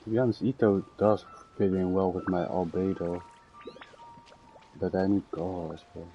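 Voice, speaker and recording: A man giving casual gaming commentary as a streamer, in a rambling, halting way. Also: deep voice, steady tone, muffled recording, faint music behind. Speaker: game streamer.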